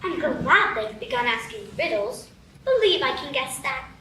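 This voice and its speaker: Curious, polite young girl played by a woman; very high, lively voice, echoey distant stage. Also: moderate pace, heavily acted. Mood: puzzled. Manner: inquisitive, exasperated. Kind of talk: live radio play acting. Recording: echoey stage from far off, noisy.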